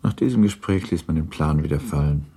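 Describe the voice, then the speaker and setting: A man reading scripted literary narration for a radio play, measured and composed. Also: rumbling low, mellow, husky.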